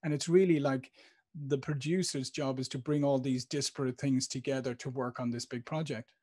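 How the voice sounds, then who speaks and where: A man in his fifties with an Irish accent hosts a video interview, agreeing warmly and thinking aloud with restarts into a podcast mic. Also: medium pitch, fairly even intonation, moderate pace. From Ireland. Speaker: interview host. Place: home studio with branded backdrop. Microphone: podcast microphone on stand.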